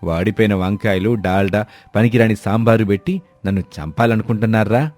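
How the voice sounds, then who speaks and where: A man's voice: on the low side, a little fast, darkish tone, no room sound, faint music behind, close to an everyday voice. A man playing an older village landlord, blunt and indignant, scolding emphatically in a dramatised moral story.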